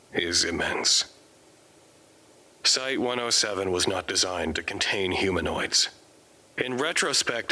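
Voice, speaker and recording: An actor playing a weary, introspective survivor, delivering a measured, literary monologue in an audio drama. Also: male voice, low-pitched, pushed and bright, husky, heavily acted. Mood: guilty, somber. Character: weary, reflective male survivor recording his thoughts. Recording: music under the voice. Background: music.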